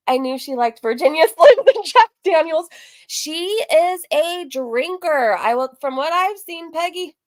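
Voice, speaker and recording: Female reaction host: slightly high pitch, casual, mocking commentary, dry room sound. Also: no echo. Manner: teasing, mocking. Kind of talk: casual commentary to live viewers.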